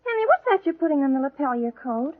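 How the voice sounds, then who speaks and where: A woman's voice: sits high, big pitch swings, rapid-fire. An actress playing a coy, well-mannered teenage girl in 1940s radio comedy style. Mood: piqued. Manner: coy, polite. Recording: muffled vintage radio recording.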